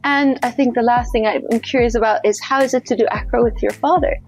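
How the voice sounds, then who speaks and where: A woman's voice: on the high side, fairly even intonation, a little fast, faint music behind. A woman asking questions in a casual interview.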